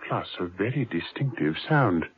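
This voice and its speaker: An actor playing a brisk, matter-of-fact male scientist in a 1970s radio drama, on tinny old radio tape. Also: lowish voice, expressive, husky.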